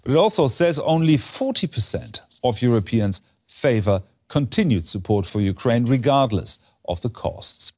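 A man presents a news report in a formal, scripted style. His voice sits at a middle pitch and keeps an even tone throughout. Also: normal speed, hardly any pauses. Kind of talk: scripted broadcast news reading.

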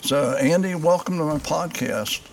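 Older male podcast host, gravelly voice, halting delivery, faint background hiss. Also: a little high. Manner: halting, with many "uh" pauses. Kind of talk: casual interview.

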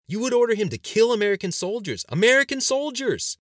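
A man voicing a panicked, scornful male politician in an audiobook, shouting his objections with incredulous mockery. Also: high-pitched, big pitch swings, fairly quick, no room sound, clean studio sound, pitched above the performer's own voice. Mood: near hysterical. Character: panicked, scornful male cabinet member arguing in dread. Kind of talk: dramatized audiobook dialogue.

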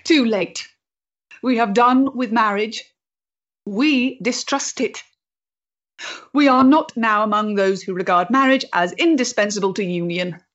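An actress playing a composed, bitter, principled Victorian freethinking woman in a stage play, speaking in a measured, formal way. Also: on the high side, fairly bright tone, lo-fi sound. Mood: firm, subdued. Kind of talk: period stage-play acting.

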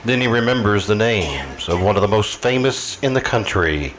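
A man's voice: lowish voice, expressive, normal speed, a bit bright, slight background noise, poor audio. A man playing the ominous storyteller of an old-time radio mystery, delivering scripted narration. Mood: grave, suspenseful. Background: faint music.

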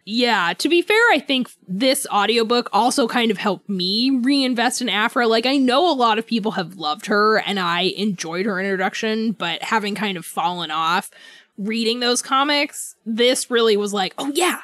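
A woman co-hosting a podcast, chatting casually and enthusiastically like a fan, quick to giggle. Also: fairly high pitch, fairly bright tone, no echo. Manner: giggly, prone to laughing; informal, enthusiastic fan talk. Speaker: podcast co-host. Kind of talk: casual book club chat.

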